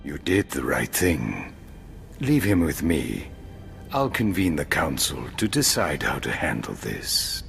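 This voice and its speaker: Grave male mentor for a game: extremely low, creaky, unhurried, soft music behind. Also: wildly swooping pitch, full character voice. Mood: solemn. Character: solemn, dutiful male mentor of a warrior order. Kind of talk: video game voice acting.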